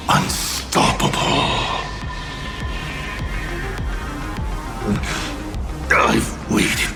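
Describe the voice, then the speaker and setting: Very slow, gravelly ancient villain. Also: male voice, fairly low pitch, full character voice. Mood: grim, gloating. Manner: slow, grandiose, deliberate. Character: defeated male villain, ancient patient schemer, proud and theatrical. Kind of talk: dramatic video game voice acting. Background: music.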